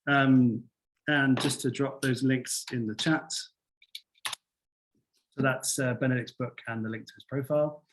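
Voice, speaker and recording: A young man hosting an online academic seminar over a video call, relaxed and full of fillers. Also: mid-range voice, unhurried, pauses often, noisy background. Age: young adult. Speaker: seminar host.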